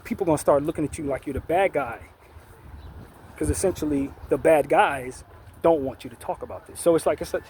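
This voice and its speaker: Male commentator with a slightly high voice, deliberate and emphatic, on a noisy recording with vehicles passing. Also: a little mellow. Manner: measured and deliberate, with frequent restarts and emphatic stresses. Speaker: online commentator. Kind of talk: unscripted opinion monologue.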